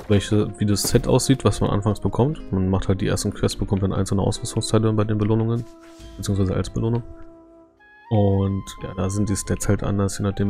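A man's voice: lowish voice, gravelly, warm tone. A man giving casual, laid-back live gameplay commentary as a streamer, in colloquial language. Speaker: game streamer.

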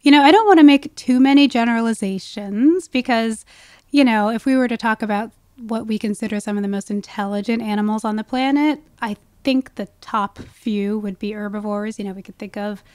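Woman, higher-pitched voice, podcast guest expert, conversational explaining, frequent asides, very dry sound. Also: a little fast, darkish tone, dry recording. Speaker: guest wildlife ecologist.

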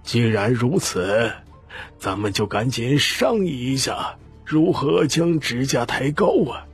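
A man voicing a shrewd old patriarch in an audiobook, calm and scheming, with deliberate, weighty delivery. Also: mid-range voice, breathy edge, faint music behind, exaggerated voice. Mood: pondering.

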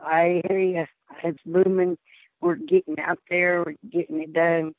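A woman's voice: on the low side, little pitch movement, unhurried, dry recording. A woman from Texas calling in to a show, her voice nearly gone. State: nearly lost voice. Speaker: caller. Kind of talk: phone call-in chat. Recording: tinny phone line.

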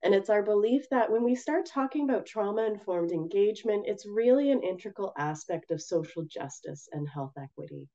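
A woman with a raspy, hoarse voice from a cold, giving a gentle, measured webinar presentation from Canada over a video call. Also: mid-range voice, animated, lo-fi sound. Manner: gentle, measured, with frequent ums.

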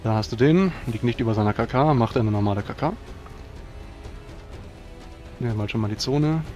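A man's voice: mid-range voice, moderate pace, darkish tone, soft background music. A man chatting casually as a player in a tabletop role-playing game.

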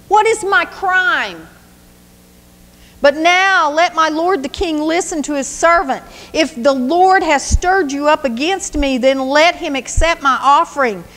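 A woman's voice: a little high, long reverb tail. A woman voicing a young male warrior who taunts his enemies in a sing-song, mocking way.

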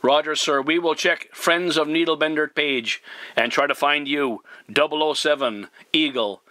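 A man talking as a CB radio operator, courteous and formal, deliberately repeating himself in radio procedure style. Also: a little high, pushed and bright, dry recording. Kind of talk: radio contact talk, spelling in phonetic alphabet.

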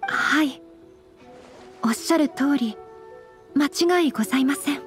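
A high, girlish voice of a well-born young lady in an anime game. She is gentle and principled, with very formal, composed phrasing. Music runs behind her. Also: a little slow. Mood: polite, firm. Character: refined young noblewoman, gentle, modest and principled.

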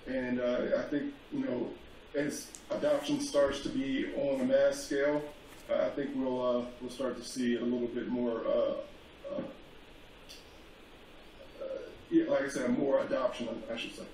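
A man's voice: mid-range voice, little pitch movement, measured pace, a little mellow. A man speaking as a guest on a panel, hesitant, with many fillers and restarts. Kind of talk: casual panel talk. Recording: echoey remote call, faint noise, far from mic.

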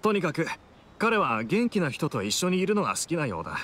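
A man's voice: fairly high pitch, sing-song, fairly quick, fairly bright tone. A man playing an easygoing young adventurer in an anime game, talking in a casual, reflective, slightly hesitant way. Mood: thoughtful. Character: easygoing young male adventurer, thoughtful townsman.

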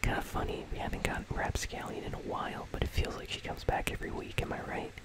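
A host whispering casual, conversational ASMR commentary on a video game in a breathy, unvoiced voice, close to the microphone. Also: quick pace. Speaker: host of a gaming news channel.

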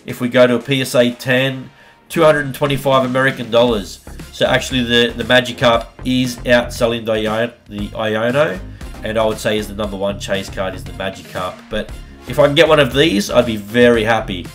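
A man, hosting a casual vlog where he opens trading card packs. His voice is slightly husky from a recent bug, and he talks in an upbeat, chatty way. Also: mid-range voice, fairly even intonation, brisk, faint music behind. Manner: upbeat, hype-building, chatty. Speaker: card-opening YouTuber host. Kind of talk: casual pack-opening vlog. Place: home room with colored lights. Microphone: studio mic on a desk arm.

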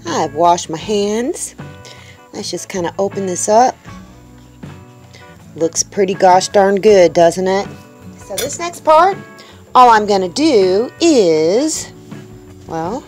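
Upbeat homesteading woman, casual chatty delivery to viewers, average pitch, light music bed. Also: darkish tone. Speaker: homestead vlogger. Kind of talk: casual cooking demonstration. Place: indoors in a small tiny-house kitchen.

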